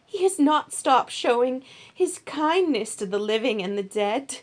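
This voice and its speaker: Woman acting kindly older mother-in-law, raised pitch, emphatic, motherly, Bible storybook. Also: animated, a little slow. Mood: delighted.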